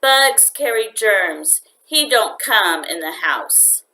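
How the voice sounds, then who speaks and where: A woman's voice: mid-range voice, expressive, slow pace, sharp tone, heavily acted. A woman reading a storybook, voicing a stern, dismissive mother with a dry, scolding manner.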